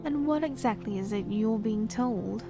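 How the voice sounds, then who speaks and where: An actress playing a reclusive queen who speaks in a measured, regal way. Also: fairly high pitch, over music, natural voice. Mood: guarded. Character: reclusive young queen, guarded and weary. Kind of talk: audio drama acting.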